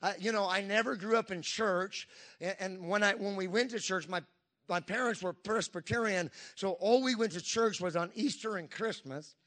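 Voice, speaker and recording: Male preacher, fifties, high and bright, emphatic sermon delivery with congregation asides. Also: moderate pace. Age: 50s. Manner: emphatic, call-and-response asides. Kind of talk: Pentecostal sermon.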